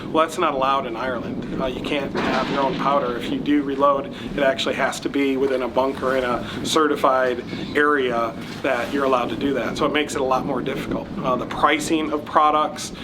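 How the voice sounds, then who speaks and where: American man, noisy outdoor interview. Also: mid-range voice. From United States. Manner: earnest, with frequent "uh" and "um" fillers. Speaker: match founder and president. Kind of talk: casual interview answers.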